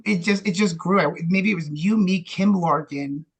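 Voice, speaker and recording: A man's voice: high-pitched, normal speed, breathy edge. A man chatting casually as a podcast guest, rambling and rephrasing his points as he goes. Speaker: podcast guest, radio theater co-founder. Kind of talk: casual chat.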